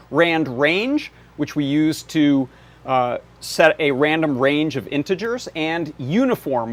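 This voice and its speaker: Man with a lightly higher-pitched voice. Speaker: host of a live electronics workshop show. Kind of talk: casual live demonstration and explanation. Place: indoor workshop.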